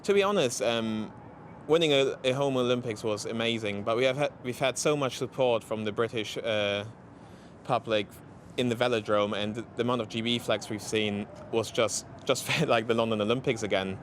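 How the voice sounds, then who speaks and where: A man with a German accent, an athlete giving an interview, speaking haltingly with many fillers and restarts. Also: medium pitch, slight background noise. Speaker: interviewed cyclist. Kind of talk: post-race interview.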